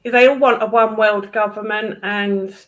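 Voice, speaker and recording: A woman's voice: mid-range voice, steady tone, a little slow, a bit bright. A British woman in casual political chat, speaking in a blunt, opinionated way with some swearing. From United Kingdom.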